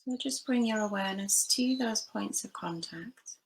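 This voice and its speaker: A woman leading a guided body scan meditation, giving gentle, unhurried instructions with long pauses between phrases. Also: mid-range voice, low-quality recording. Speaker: meditation teacher.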